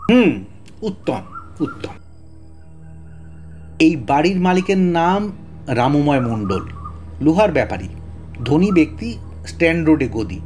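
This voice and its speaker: An actor playing a talkative, excitable middle-aged police detective in an audio drama, blustery, often stammering and repeating words. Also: male voice, on the high side, measured pace, pauses often, soft background music. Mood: welcoming. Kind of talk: Bengali audio story acting.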